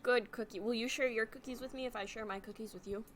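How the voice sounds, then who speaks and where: A woman's voice: fairly high pitch, steady tone, fast talker, slight background noise. A young adult woman co-hosting a podcast in playful, giggly, sing-song casual chat over a remote call. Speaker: podcast co-host.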